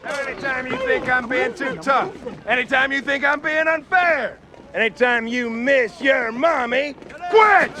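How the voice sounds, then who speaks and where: An actor playing a harsh, bullying military drill instructor, yelling in a strained, confrontational bark. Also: male voice, extremely high pitch, a little slow, exaggerated voice. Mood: contemptuous, aggressive. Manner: barking, confrontational.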